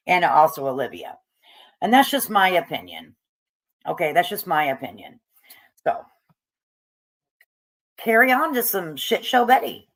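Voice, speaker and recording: A woman giving casual, opinionated commentary on video clips, with frequent hesitations and fillers. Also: deep voice. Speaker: commentary YouTuber.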